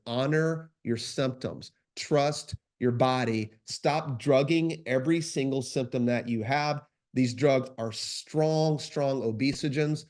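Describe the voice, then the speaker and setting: A man's voice: medium pitch, darkish tone. A man with a congested, stuffy voice giving an emphatic podcast monologue as co-host, insistent and driving his points home with repetition. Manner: emphatic, insistent, repeats phrases for stress. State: congested, runny nose; sleep deprived.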